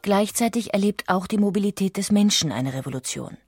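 A woman's voice: medium pitch, lively intonation, a little fast, darkish tone, slightly rough, dry recording, studio quality, faint music behind. A woman reading a scripted documentary narration as an audiobook narrator.

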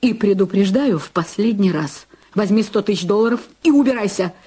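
An actress in an audio drama playing a hot-tempered, defiant film star with a husky voice. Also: female voice, mid-range voice, animated, brisk, warm tone. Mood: cold warning.

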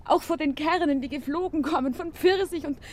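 A woman's voice: high voice, a little fast, lightly acted. An actress playing a submissive young woman desperately in love in a radio play, speaking with solemn, yielding fervour. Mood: fervent. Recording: noisy old radio play recording.

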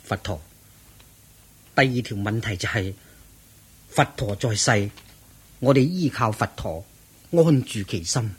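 An actor playing a devoted, grieving monk disciple in a radio drama, reverent and earnest, with husky Hong Kong Cantonese speech. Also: male voice, on the low side, animated, slow, long pauses. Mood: earnest, respectful. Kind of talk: Buddhist radio drama acting.

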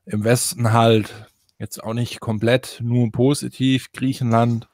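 A man's voice: fairly low pitch, dry recording, studio quality. A man co-hosting a casual podcast discussion. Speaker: podcast co-host.

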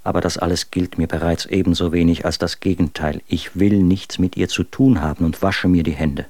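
Embittered husband for literary radio drama: low register, scornful, very dry recording. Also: male voice, steady tone, brisk, darkish tone, no echo. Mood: cold disgust. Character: bitter, contemptuous husband writing letters about his wife. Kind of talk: dramatic reading of old letters in a radio play.

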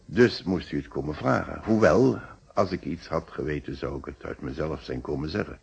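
A man's voice: a bass voice, moderate pace, creaky, dull audio. An actor in a Dutch radio play playing a polite, self-assured married businessman who speaks precisely and logically. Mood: composed, precise. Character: composed, hospitable, logical married businessman being questioned.

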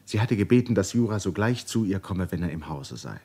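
A man with a husky voice giving measured, serious literary narration for a radio drama. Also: low voice, lively intonation, dark tone.

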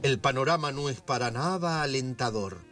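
A man narrating a scripted radio drama as a measured, theatrical storyteller. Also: mid-range voice, lively intonation, a bit bright, close to an everyday voice. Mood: storytelling.

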